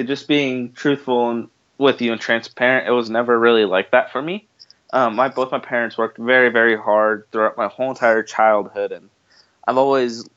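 A man's voice: medium pitch, fairly bright tone, dry recording. A man from Bosnia who moved to the United States, chatting informally as a podcast guest and repeating phrases for emphasis, on slightly lo-fi audio. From Bosnia, later the United States. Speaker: podcast guest, marketing entrepreneur. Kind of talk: casual conversational interview.

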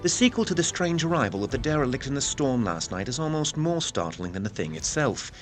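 A man playing a grave newspaper reporter in an audio drama, narrating in a formal, measured, solemn manner. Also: medium pitch, very animated, over music, natural voice. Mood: formal, intrigued.

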